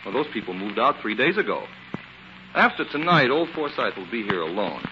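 A man's voice: on the low side, sing-song, fairly quick, over music. A man with an American accent playing a talkative antiques dealer in a 1940s radio drama. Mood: chatty, concerned. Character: talkative, civic-minded male antiques dealer. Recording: muffled, noisy 1940s radio recording.

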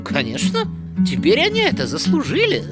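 A woman's voice: sits high, huge pitch swings, moderate pace, loud music behind the voice. A woman voicing a sassy, opinionated female cartoon animal with a dramatic, emphatic way of talking. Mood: sassy, indignant.

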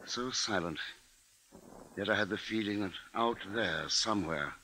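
A resolute family man in a fifties radio play. His low voice tells the tale in measured, literary phrases and stays grave. The old broadcast recording is clearly noisy. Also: bright, forceful tone. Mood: grave, brooding. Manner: measured, dramatic, literary narration. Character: grave, resolute husband and father narrating a bird siege. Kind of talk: 1950s radio drama acting and narration.

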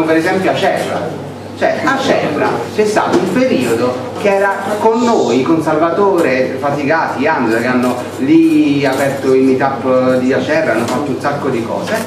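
A middle-aged man, standing and speaking unscripted to a packed meeting room. His voice sits a little high and reaches a distant camera through heavy room echo. The murmur of the seated crowd stays under him throughout. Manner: gesturing while standing, thinking aloud with restarts and lists. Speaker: local activist speaking at a meeting. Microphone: far camera, no mic. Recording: echoey room heard from far off, crowd murmur.

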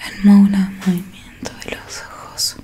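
Whispering woman, slow ASMR roleplay. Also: mid-range voice, level tone. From Argentina. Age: 20s. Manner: soft, slow, deliberate murmuring to one listener. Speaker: ASMR creator playing a robot repair technician. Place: small dim room indoors with curtains. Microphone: close microphone in front of her.

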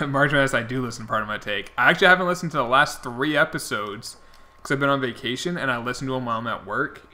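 Bright-voiced young man chatting while gaming. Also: mid-range voice, fast talker. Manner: rambling, talks to chat viewers by name. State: losing his voice. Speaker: game streamer. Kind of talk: casual chat while playing.

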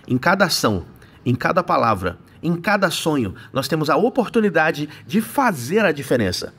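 High-voiced man, inspirational voice-over. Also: breathy edge. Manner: earnest, inspirational, rhetorical questions. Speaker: channel host, sales and leadership speaker. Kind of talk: scripted motivational narration. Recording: voice-over over loud music. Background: loud background music.

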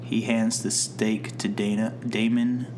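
A man co-hosting a casual podcast, reading plot notes aloud and making dry, deadpan jokes. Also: on the low side, slow, slight background noise. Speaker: podcast co-host. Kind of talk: casual chat recapping a TV episode.

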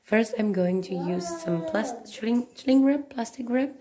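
A woman with a deep, husky voice, hoarse from a cold, explaining each step of a craft tutorial, with small slips. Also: unhurried, a crowd in the background. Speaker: craft channel host.

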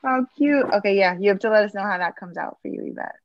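A woman's voice: medium pitch, fast, no room sound. A woman hosting an online cooking session in casual, exclamatory chat. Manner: lively, exclamatory. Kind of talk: casual video call chat. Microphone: video call. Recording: slightly dull video call audio.

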